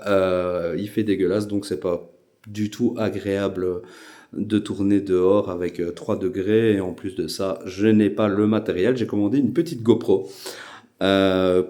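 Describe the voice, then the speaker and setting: A Belgian man with a Belgian French accent, hosting a casual vlog and talking with many hesitant filler pauses. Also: fairly low pitch, fairly even intonation, mellow. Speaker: YouTube channel host.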